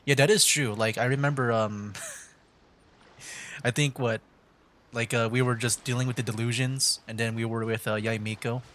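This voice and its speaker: A man's voice: medium pitch, fairly even intonation, moderate pace, pauses often, fairly bright tone, a little hiss or hum. A man livestreaming a video game with casual, chatty, slangy commentary. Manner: informal slang, chatty, reactive. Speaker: game streamer. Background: faint game music.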